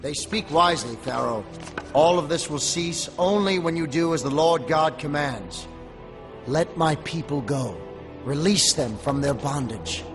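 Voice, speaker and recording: An actor playing a solemn, steadfast prophet in an animated film, speaking gravely and earnestly with command. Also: male voice, on the high side, a little slow, over music. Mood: firm.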